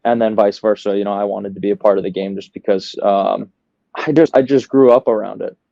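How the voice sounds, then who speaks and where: A man's voice: on the low side, fairly even intonation, fairly quick, vocal fry, mellow, no echo, dull audio. A young man from outside Chicago with a Midwestern American accent, talking casually and self-deprecatingly as a podcast guest. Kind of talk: casual interview talk.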